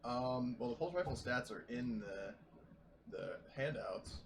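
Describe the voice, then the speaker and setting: A man's voice: mid-range voice, expressive, a little slow, rough recording. A man chatting casually as a player in a tabletop roleplaying game, working through rules and stats. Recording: clear background noise.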